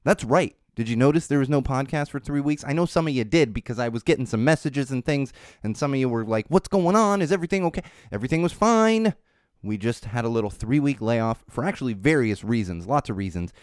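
A man's voice: medium pitch, animated, brisk, no room sound. A man hosting his own podcast, talking in a casual, rambling way while still congested from a cold, recorded on a studio microphone. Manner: chatty, rambling, self-promoting. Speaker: podcast host. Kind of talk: casual solo podcast talk. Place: small studio.

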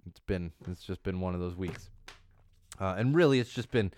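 A man hosting a casual news podcast in a studio, rambling and correcting himself as he goes, his voice a bit hoarse from losing it. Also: low voice, moderate pace, darkish tone. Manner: chatty, rambling, self-correcting. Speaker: podcast host.

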